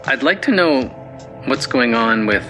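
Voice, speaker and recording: An actor playing an uncertain but persistent investigative journalist in natural, conversational audio drama dialogue. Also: male voice, mid-range voice, animated, a little slow, sharp tone, rough recording. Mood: searching, reserved. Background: faint music.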